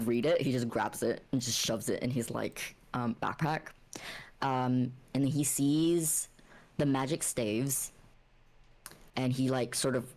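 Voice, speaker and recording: A woman playing a tabletop game, casually narrating her character's actions while thinking aloud in a halting way. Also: extremely low pitch, darkish tone, rough recording.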